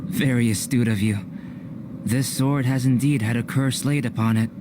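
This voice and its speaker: Man as young game alchemist, cerebral and enigmatic, measured polite delivery at middle pitch. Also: steady tone, over music, natural voice. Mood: measured, instructive. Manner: measured, formal, precise, unflappable. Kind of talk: video game cutscene acting. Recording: clear background noise.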